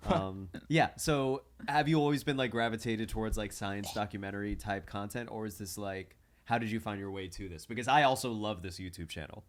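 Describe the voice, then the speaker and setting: A man's voice: fairly low pitch, a little fast, hardly any pauses. A man hosting a podcast in a casual, conversational style. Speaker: podcast host. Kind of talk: casual chat.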